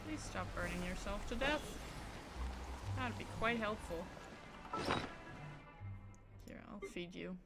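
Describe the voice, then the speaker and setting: Slow-paced woman streamer over loud game music. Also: mid-range voice, animated. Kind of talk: casual live-stream chat while playing a game. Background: game music and sound effects.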